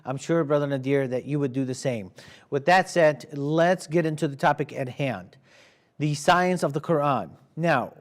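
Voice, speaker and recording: A man's voice: fairly high pitch, moderate pace. A man whose first language is Arabic, firmly moderating and then arguing in a debate. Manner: firm, steering the talk.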